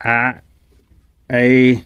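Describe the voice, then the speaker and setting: An actor plays an earnest village dreamer in a radio comedy. The voice is very slow and halting, full of exclamations, and recorded dry and close. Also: mid-range voice, monotone, clean tone, dry recording, muffled recording. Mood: startled, unsure. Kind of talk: Ilocano radio comedy drama acting.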